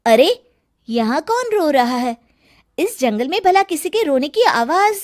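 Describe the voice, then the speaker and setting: Casting: kind, eager cartoon farm girl, high and earnest voiced by a woman. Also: big pitch swings, measured pace. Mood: curious, helpful. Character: kind, earnest village farmer's daughter, young woman.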